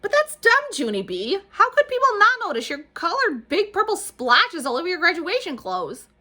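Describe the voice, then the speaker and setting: A woman voicing a prissy, bossy little girl classmate who talks in a shrill, fussy way. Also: lively intonation, quick pace, a bit bright, full character voice.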